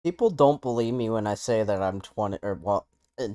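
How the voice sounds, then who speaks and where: A man's voice: on the low side, a little fast, dark tone. A man in his twenties hosting a casual podcast, teasing his friends with many fillers. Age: 20s. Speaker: podcast host. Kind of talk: casual chat.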